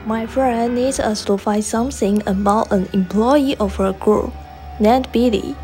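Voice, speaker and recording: A woman's voice: mid-range voice, darkish tone, lightly acted, poor audio. A woman playing a private detective leading a case in an amateur student radio drama.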